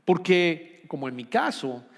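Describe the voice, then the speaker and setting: Emphatic pastor in a reverberant hall. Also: male voice, fairly high pitch, big pitch swings, a little slow, vocal fry. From Colombia. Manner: earnest, rising to emphatic repetition. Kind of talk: sermon. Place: church hall. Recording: long reverb of a church hall.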